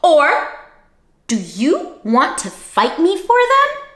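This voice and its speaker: A high, blustering storybook ladybug, acted out by a woman reader, bossy and spoiling for a fight. The voice swoops and screeches in a roomy, echoing space. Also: huge pitch swings, takes it slowly, a bit bright, full character voice. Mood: angry, combative. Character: grouchy, bossy little ladybug itching for a fight.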